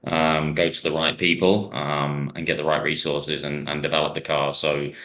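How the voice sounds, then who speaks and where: A man from Ireland, a racing driver being interviewed, telling stories in a rambling way with many pauses and restarts. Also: very deep voice, flat delivery, a bit bright. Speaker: hill climb driver, guest. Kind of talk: casual interview chat.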